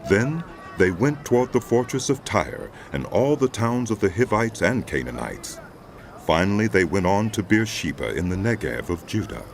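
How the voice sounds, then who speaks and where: Deep-voiced male narrator with expressive, sweeping pitch. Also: slight background noise. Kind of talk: scripted dramatized audio Bible narration. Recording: music behind the voice. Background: background music.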